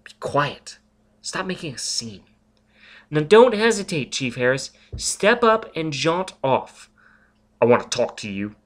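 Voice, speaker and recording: A prim, high-strung young woman in a storybook, as a male reader puts her on, speaking high and quick with worry. She sounds lonely and easily frightened, her voice lifting sharply when upset. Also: big pitch swings, fairly bright tone. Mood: flustered. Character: young woman, a lonely nervous telepath teacher.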